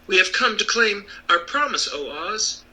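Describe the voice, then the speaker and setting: A man's voice: high-pitched, expressive, measured pace, pushed and bright, husky, voice pushed up, heavily acted, rough recording. A man voicing an earnest, plucky little girl in a storybook reading, speaking insistently.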